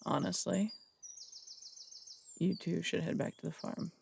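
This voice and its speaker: A man's voice: sits high, flat delivery, unhurried, gravelly, darkish tone, slight background noise, heavily acted. A man playing a blunt, distrustful city detective who speaks in curt, suspicious questions. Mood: grim. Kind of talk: audio roleplay drama acting.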